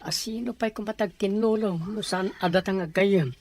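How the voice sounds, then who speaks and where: A woman's voice: medium pitch, moderate pace, a little mellow, a little hiss or hum, natural voice. A woman voicing a caring friend who tends to a sick companion in an audio drama. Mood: concerned. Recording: faint background music.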